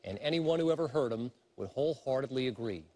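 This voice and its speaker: A man reading a scripted documentary narration in a smooth, measured way. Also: medium pitch, animated, darkish tone.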